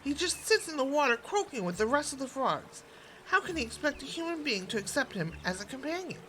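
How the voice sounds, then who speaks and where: A spoiled young princess of storybook kind, played by a woman reader. She sounds petulant and dramatic, with a disdainful streak, over clearly audible music. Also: sits high, sing-song, brisk, a bit bright, slight background noise, pitched above the performer's own voice, poor audio. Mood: scornful.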